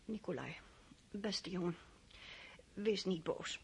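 A worried, husky countess mother. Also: lowish voice, expressive, slow, a little mellow, full character voice. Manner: pleading, worried. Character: anxious aristocratic mother, a countess.

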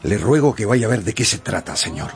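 An actor playing an imperious, easily indignant English gentleman traveler in a Spanish-language radio drama, theatrical and commanding. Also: fairly low pitch, expressive, brisk, close to an everyday voice. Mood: firm, commanding. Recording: music behind the voice.

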